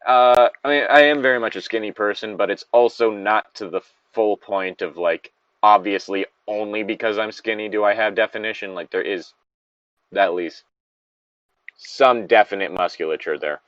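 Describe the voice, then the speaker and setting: A man's voice: on the low side, normal speed, fairly bright tone, no room sound, highs cut off. A man in casual banter as a show co-host, rambling, swearing and correcting himself as he goes. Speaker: co-host of a story-reading show.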